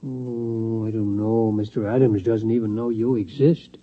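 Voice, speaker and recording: An actor playing a timid, folksy old security guard, rambling and hesitant, in 1950s radio drama style. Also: male voice, lowish voice, fairly even intonation, measured pace. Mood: hesitant, reluctant. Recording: muffled vintage radio recording, faint music.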